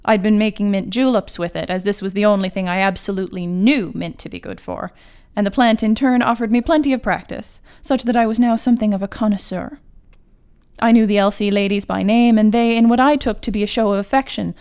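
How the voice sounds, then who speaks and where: A woman writer reading her own literary short story in a measured, articulate and wry manner. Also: medium pitch, a little mellow, clear, resonant tone.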